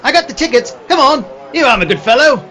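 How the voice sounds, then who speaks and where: A man playing a fussy, excitable theatrical old gentleman in a comic radio play, pompous and full of old-fashioned exclamations. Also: extremely high pitch, fast talker, faint music behind, exaggerated voice. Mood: puzzled, genial.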